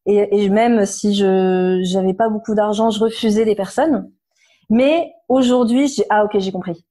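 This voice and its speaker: A woman in her thirties, slightly hoarse, talking frankly and animatedly as an interview guest, with many fillers. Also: medium pitch, normal speed, warm tone. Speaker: interview guest, nutrition therapist. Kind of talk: casual conversational interview.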